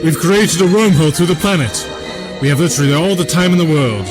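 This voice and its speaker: Man playing brisk eccentric time-traveller, high-pitched. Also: over music, natural voice. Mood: brisk, dry. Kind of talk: audio drama acting.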